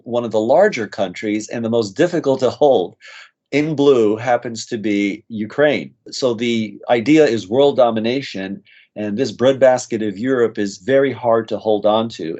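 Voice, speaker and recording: A middle-aged man with a slight American accent, a nurse practitioner guest telling stories in a relaxed interview with frequent "uh" fillers. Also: medium pitch, highs cut off. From United States. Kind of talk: conversational interview storytelling.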